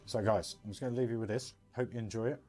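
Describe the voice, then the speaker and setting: A man's voice: on the low side, quick pace, a little mellow, faint music behind. A man hosting a casual game livestream, chatting directly to his viewers as he gives commentary. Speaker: livestream host.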